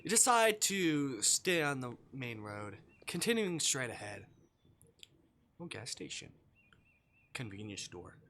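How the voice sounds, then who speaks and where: A man's voice: mid-range voice, very animated, takes it slowly, pauses often, bright, forceful tone. A man livestreaming a visual novel, reading the text aloud in a casual style with playful, muttered asides. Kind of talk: casual gaming stream, reading game text aloud with offhand commentary.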